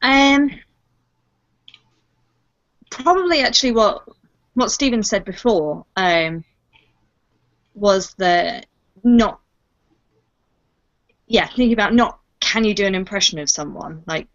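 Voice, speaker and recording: A woman's voice: mid-range voice, animated, slow pace, long pauses. A woman, a voice actor, casually giving advice in a panel Q&A. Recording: dull lo-fi online call audio.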